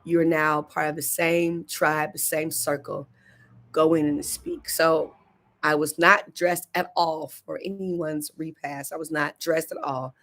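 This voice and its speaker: A middle-aged woman with a slightly low, hoarse voice hosts a morning motivational show. She talks to her listeners in an earnest, storytelling way, and her voice sometimes turns emotional. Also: steady tone, measured pace, a bit bright. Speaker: minister and show host. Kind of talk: morning motivational livestream talk.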